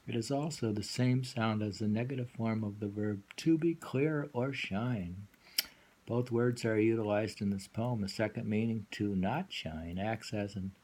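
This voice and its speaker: A man giving an informal poetry reading with commentary, musing haltingly and repeating phrases as he explains. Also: fairly low pitch, warm tone, a little hiss or hum.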